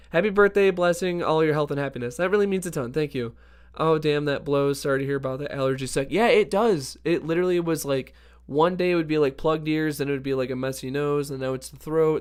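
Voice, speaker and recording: A man hosting a casual livestream, his voice hoarse from allergies yet still smooth and resonant underneath. He sits a little higher in pitch than most men and keeps an upbeat tone while greeting viewers from the chat. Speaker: livestream host.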